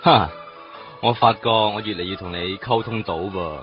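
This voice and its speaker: A man playing a gruff but kindly boss who teases his young employee in a radio drama. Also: lowish voice, faint music behind, lightly acted. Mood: teasing. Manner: dry, teasing, slow. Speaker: the hero's boss. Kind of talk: Cantonese radio drama acting. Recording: dull lo-fi radio recording.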